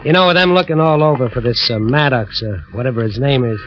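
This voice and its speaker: Casting: male actor as slick, worldly crook, mid-pitched, casual tough-guy slang, coaxing; dull old-time radio sound. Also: quick pace, slight background noise, natural voice. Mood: knowing, guarded. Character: smooth, worldly gangster posing as a friendly prankster. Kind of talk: 1940s-50s radio drama acting. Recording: dull vintage radio recording.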